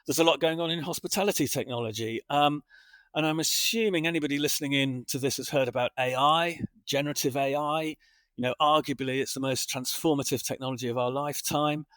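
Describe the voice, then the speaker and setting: A man's voice: on the high side, a little fast, a bit bright, dry recording. A man hosting a corporate podcast, chatty and loosely structured, repeating phrases while he frames his questions. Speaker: podcast host.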